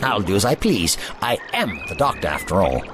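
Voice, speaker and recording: A man's voice: fairly low pitch, big pitch swings, brisk, heavy background noise. A man playing an eccentric, authoritative older adventurer, cautious and kindly, speaking formally and precisely in an audio drama. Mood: firm, avuncular. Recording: voice over prominent music.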